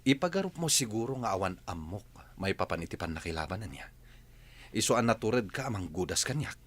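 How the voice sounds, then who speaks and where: A man's voice: on the low side, very animated, fairly quick, a bit bright. A man with an Ilocano accent playing a stern, wronged man confronting his rival in a radio drama. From Philippines.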